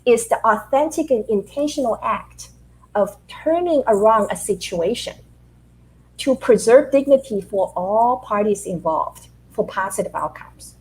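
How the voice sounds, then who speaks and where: A middle-aged woman from Taiwan, a leadership author answering interview questions in an animated, storytelling way with rhetorical questions. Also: a little high, a little slow, rough recording.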